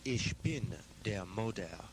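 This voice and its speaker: A man's voice: lowish voice, animated, takes it slowly. A man reciting short lines one at a time, as if for someone to repeat. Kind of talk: slow line-by-line recitation for another to repeat. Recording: clear background noise.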